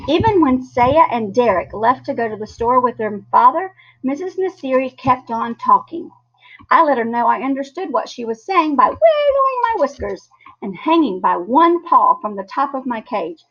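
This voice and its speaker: A woman reading a children's story aloud in an expressive, animated way. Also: high-pitched, moderate pace. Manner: animated, acts out character lines. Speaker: storybook reader. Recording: dull, lo-fi sound.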